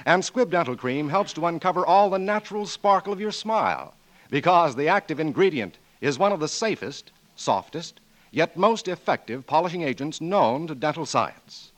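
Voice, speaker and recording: Male radio announcer, 1940s commercial read, highish pitch, lively swooping emphasis, formal and polished. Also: moderate pace.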